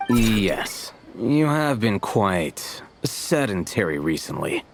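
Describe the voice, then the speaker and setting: A man voicing an aloof, deadpan young male scholar in a game, terse and dry. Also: fairly low pitch, slow, gravelly, faint music behind, exaggerated voice. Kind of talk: game voice acting.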